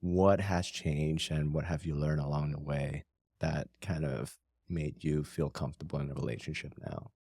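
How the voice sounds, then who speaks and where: Low-voiced man hosting a podcast. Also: steady tone, moderate pace, a little mellow, no echo, clean studio sound. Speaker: podcast host. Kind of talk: casual podcast chat with scripted intro.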